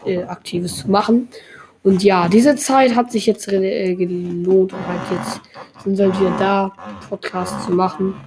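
A woman's voice: mid-range voice, darkish tone, a little hiss or hum. A young woman in her teens hosting a casual podcast, rambling along with lots of filler words. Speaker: podcast host.

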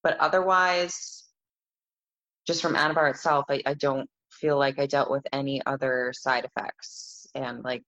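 A woman with a raspy voice interviewing a guest in a relaxed, questioning way. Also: a bass voice, unhurried, lo-fi sound. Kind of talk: casual interview.

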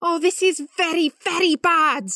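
A British woman playing a bossy, exasperated senior political staffer in an audio comedy, brisk and dry. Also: very high voice, steady tone, sharp tone, no room sound, full character voice. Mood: dismayed. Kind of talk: audio comedy drama acting.

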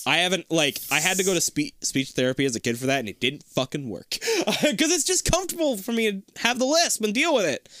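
A man in casual chat who talks loudly and projects, with a lisp and frequent laughter. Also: on the high side, no room sound, clean studio sound. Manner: loud, projecting, laughs often.

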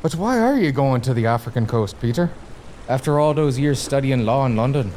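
A man's voice: medium pitch, expressive, fairly quick, darkish tone, a little hiss or hum, natural voice. A man playing a hearty, food-loving, teasing sailor who talks colloquially in a comic audio drama. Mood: teasing, exasperated. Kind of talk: gothic comedy audio drama dialogue.

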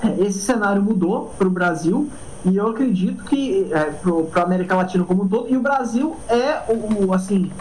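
A man's voice: sits high, animated, moderate pace, darkish tone, slight background noise, poor audio. A startup cofounder, a man, answering an interview casually and padding his speech with fillers and tag questions.

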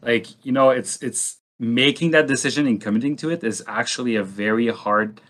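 A man in a casual discussion, speaking haltingly and thinking aloud as a panelist. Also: medium pitch, a bit bright.

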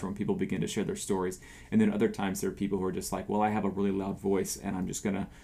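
A man co-hosting a casual church discussion, talking in a rambling way with lots of "like" and "you know" and trailing off softly. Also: sits low, vocal fry. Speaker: podcast co-host.

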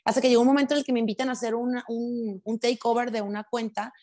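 A woman from Sinaloa, Mexico, with a Sinaloan accent, talking frankly and with lots of slang in a casual conversation. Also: fairly high pitch. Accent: Sinaloan Mexican Spanish. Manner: fast, animated, slangy and frank.

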